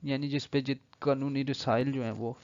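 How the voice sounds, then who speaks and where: A dull, lo-fi recording with very little room sound carries a man's mid-pitched voice. He has a Pakistani accent and speaks as a lecturer, explaining point by point and slipping into English legal terms. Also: steady tone, normal speed, darkish tone, dry recording. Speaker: law lecturer. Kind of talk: explanatory lecture, reading statute aloud then paraphrasing.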